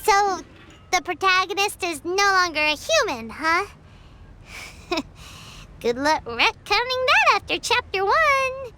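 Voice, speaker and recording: A woman playing a tiny, cheeky, excitable girl companion in a video game, with a squeaky, childlike, blunt delivery. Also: slow pace, long pauses, full character voice. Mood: skeptical.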